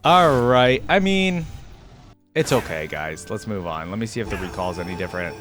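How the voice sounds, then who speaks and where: A man's voice: fairly low pitch, animated, moderate pace, music in the background. A man giving casual, informal reaction commentary, rambling along as he talks straight to his viewers. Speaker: reaction video host.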